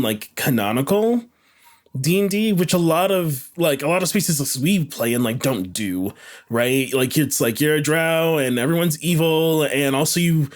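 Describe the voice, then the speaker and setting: A man chatting on a discussion panel, halting as he searches for words. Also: on the high side. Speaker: podcast panelist.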